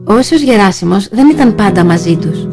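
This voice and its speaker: Female storybook narrator: mid-pitched, scripted children's tale delivery, clear guitar music underneath. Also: lively intonation. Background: plucked guitar music.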